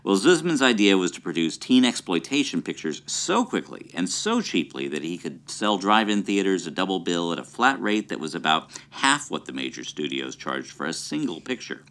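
Deep, animated man recounting film history. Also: normal speed, hardly any pauses. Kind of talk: storytelling explanation.

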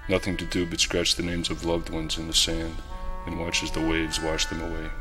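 A man's voice: extremely low pitch, completely flat delivery, moderate pace, in a large hall, over music. A man playing a lonely, sunburnt castaway in a comedy sketch, narrating his plight with grave melodrama. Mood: mournful. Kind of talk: dramatic narration.

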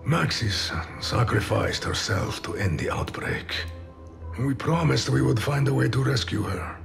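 An actor playing a stoic, haunted older veteran operative in a video game, formal and guarded. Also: male voice, medium pitch, animated, unhurried, creaky. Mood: sombre regret. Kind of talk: video game cutscene acting. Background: music.

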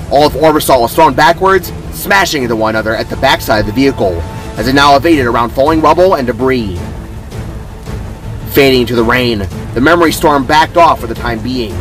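A man's voice: mid-range voice, fairly bright tone. A man reading scripted story narration in a dramatic, emphatic manner.

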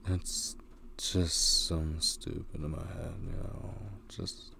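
A man playing a sleepy, needy boyfriend shaken by a nightmare, murmuring haltingly in an intimate improvised ASMR roleplay. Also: sits low, little pitch movement, vocal fry, sharp tone, slight background noise, full character voice. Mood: reluctant, uneasy.

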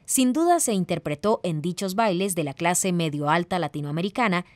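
A woman's voice: fairly low pitch, animated, a little fast, darkish tone, no room sound. A woman reading scripted narration as the presenter of a radio programme.

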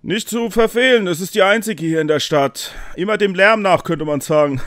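A man voicing a mournful, courteous town guard who speaks haltingly in a tabletop game. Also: high voice, a bit bright, dry recording, studio quality, pitched above the performer's own voice. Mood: hesitant, polite. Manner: halting, hesitant.

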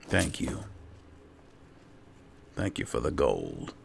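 Male gamer, extremely low and creaky register, slow low-key roleplay delivery. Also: very animated, slight background noise. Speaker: gamer playing in character. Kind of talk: casual roleplay game commentary. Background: game music.

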